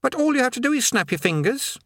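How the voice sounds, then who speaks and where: A man voicing a demanding, whiny teenage boy in an audiobook reading, his voice pushed high and strained. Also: very animated, fast talker, fairly bright tone, dry recording, clean studio sound, heavily acted. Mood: frantic whining. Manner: petulant, pleading, high and strained. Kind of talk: audiobook character voice.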